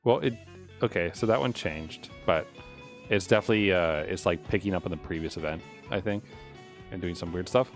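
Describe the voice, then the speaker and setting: Low-voiced man thinking aloud on stream. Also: fairly quick, soft background music. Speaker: live streamer coding a game. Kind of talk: casual unscripted coding stream commentary.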